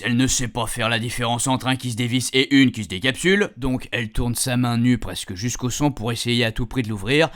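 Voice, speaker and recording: A man's voice: medium pitch, a bit bright, dry recording, studio quality, lightly acted. A man playing a chatty older husband who tells his camping memories in colloquial French-accented speech for a radio fiction, savouring words and grumbling in asides. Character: chatty, grumbling, nostalgic married man, an amateur camper. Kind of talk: first-person storytelling in a radio fiction podcast.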